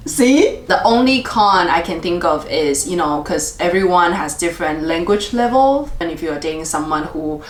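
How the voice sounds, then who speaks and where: A woman's voice: lowish voice, expressive, normal speed, hardly any pauses, a little hiss or hum. Cheerful woman, roomy echo. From Taiwan. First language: Mandarin. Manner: cheerful, laughs often. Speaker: dating vlog host. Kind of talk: casual chat to camera. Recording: roomy echo, faint music.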